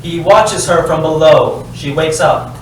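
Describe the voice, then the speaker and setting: A man reading stage directions as scripted narration, deliberate and dry in delivery. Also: fairly high pitch. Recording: very echoey hall, distant mic, faint noise.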